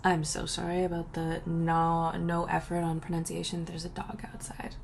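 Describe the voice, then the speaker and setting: A woman's voice: fairly low pitch, completely flat delivery, moderate pace, gravelly, a little hiss or hum. A young woman answering viewer questions in a rambling, casual chat, breaking off mid-sentence and laughing between thoughts.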